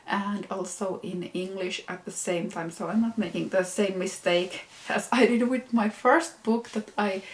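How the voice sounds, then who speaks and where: Slow, chatty Finnish woman host. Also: mid-range voice, low-quality recording. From Finland. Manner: unhurried, chatty, frequent ums and self-corrections. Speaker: knitwear designer and podcast host. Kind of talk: casual chat to camera.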